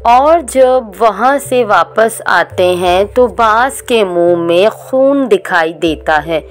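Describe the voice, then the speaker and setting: A woman narrating a children's cartoon story in a slow, even storytelling manner. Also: medium pitch, faint music behind.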